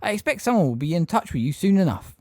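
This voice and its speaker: A man voicing an eccentric, fussy older woman who talks briskly and scolds impatiently. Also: high-pitched, big pitch swings, mellow, no room sound, pitched above the performer's own voice.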